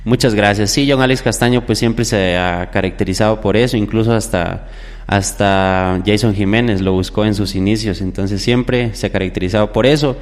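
Low-voiced young man, echoey. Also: fairly even intonation, normal speed, faint music behind. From southern Ecuador. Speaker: musician guest, popular music singer. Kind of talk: conversational interview storytelling. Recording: echoey hall-like reverb.

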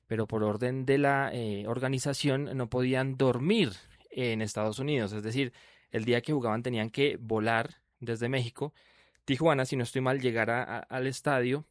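Male host, conversational and rambling, mid pitch, dry sound. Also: steady tone, moderate pace, no echo. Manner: chatty, many "eh" fillers and restarts. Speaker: radio host. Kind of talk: interview hosting, conversational.

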